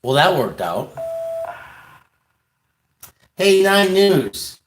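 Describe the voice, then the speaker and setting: An American man rambling casually on a livestream, hesitant and pausing often as he talks. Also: high-pitched, sing-song, slight background noise. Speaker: livestream host, activist.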